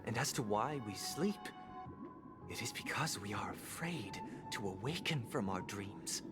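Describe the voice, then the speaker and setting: A man voicing a serene, eloquent game villain, speaking in a solemn, measured, oratorical way. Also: fairly low pitch, expressive. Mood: lofty, insistent. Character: serene, eloquent male villain preaching an eternal dream paradise. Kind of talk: theatrical video game dialogue. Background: prominent game music.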